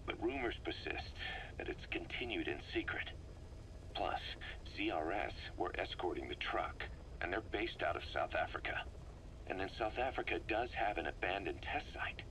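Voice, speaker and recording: A man playing a grave, analytical military intelligence officer, giving a measured, serious briefing in a video game audio log. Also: low voice, expressive, fairly bright tone, poor audio. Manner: measured, serious, expository delivery. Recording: faint noise, cassette-tape radio sound.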